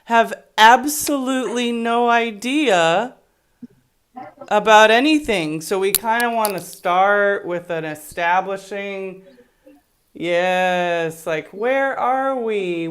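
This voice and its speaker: A woman conducting an interview over a video call, talking deliberately and giving directions. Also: mid-range voice, expressive, clean tone. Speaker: remote interviewer.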